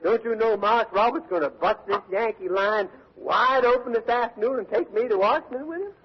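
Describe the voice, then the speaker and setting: An actor playing a boastful, taunting Confederate prisoner of war with a Southern accent, in 1940s radio drama style. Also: male voice, high-pitched, brisk, slight background noise, close to an everyday voice. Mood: cocky. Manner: boastful, taunting, drawling folksy talk. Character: brawny, cocky Confederate soldier prisoner from Mississippi. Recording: muffled old 1940s radio recording.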